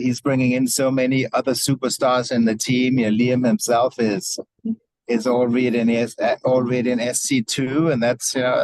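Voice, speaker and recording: A man's voice: mid-range voice, no echo, low-quality recording. A man speaking on a video call from his car, upbeat, often repeating words and adding "right?". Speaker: team leader. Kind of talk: casual remarks on a call.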